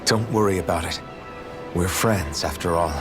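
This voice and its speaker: A man acting a kind, idealistic young hero in a video game cutscene, speaking gently, sincerely and thoughtfully. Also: deep voice, moderate pace, over music, lightly acted. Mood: reassuring.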